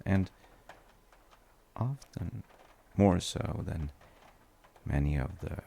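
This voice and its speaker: A man playing a gentle, reflective dad in an intimate audio roleplay, musing softly and reassuringly to a tired child with thoughtful pauses. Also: a little hiss or hum, heavily acted. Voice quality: gravelly, creaky low voice. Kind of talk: soft intimate sleep-aid audio roleplay.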